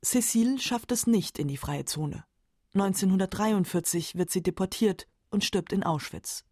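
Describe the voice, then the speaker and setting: A woman narrating a scripted radio documentary in a measured, matter-of-fact way. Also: mid-range voice, a little mellow, dry recording.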